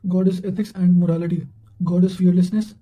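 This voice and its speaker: A man's voice: high-pitched, little pitch movement, brisk, dark tone. A man reading quotations and study notes aloud in a formal manner, occasionally stumbling over words.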